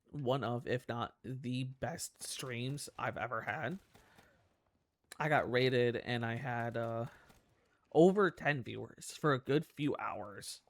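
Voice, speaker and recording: A man's voice: medium pitch, creaky, a bit bright, no room sound. A man with a nasal voice, rambling in casual commentary over gameplay as a gaming YouTuber, swearing often and laughing at himself.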